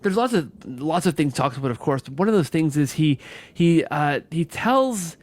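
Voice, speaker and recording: A man hosting a conversational interview, talking haltingly, repeating words and often checking in with "right?". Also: on the high side, sing-song. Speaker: podcast host.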